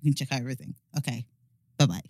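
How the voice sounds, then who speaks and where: A woman's voice: extremely low pitch, rapid-fire, darkish tone, no room sound, clean studio sound. A young woman talking casually as a podcast guest, laid-back and giggly.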